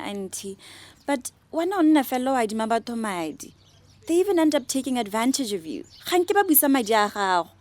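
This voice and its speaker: A young Botswana woman vlogger in a radio drama, practical and money-wise. Her voice sits high and swings in lively, expressive melody as she moves between two languages. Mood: firm, persuasive. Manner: expressive, switches between Setswana and English.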